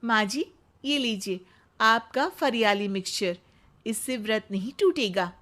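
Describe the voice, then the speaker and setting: Woman doing a young bahu in a hindi cartoon, highish voice, dutiful but protesting. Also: measured pace, a bit bright. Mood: polite, hesitant. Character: dutiful, anxious young Hindi daughter-in-law. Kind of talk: comic animated moral story acting.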